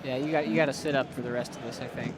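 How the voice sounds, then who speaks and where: A man's voice: medium pitch, fairly even intonation, very fast. A man co-hosting a live football watch-along, giving casual opinions on the play. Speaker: co-host of live match-reaction show. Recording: clear background noise.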